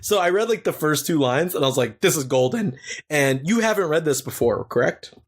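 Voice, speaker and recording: A man's voice: fairly high pitch, animated, brisk. A young man co-hosting a podcast in casual banter, crude and joking, laughing often. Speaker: podcast co-host.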